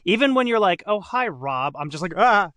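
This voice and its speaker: A man hosting a podcast, interviewing casually with an excitable, gushing manner. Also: sits high, moderate pace, crowd noise. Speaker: podcast host.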